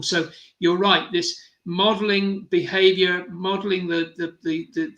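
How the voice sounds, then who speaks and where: A man's voice: sits high, creaky, sharp tone, poor audio. A man hosting a podcast interview, chatty and encouraging toward his guest. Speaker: podcast host, business mentor.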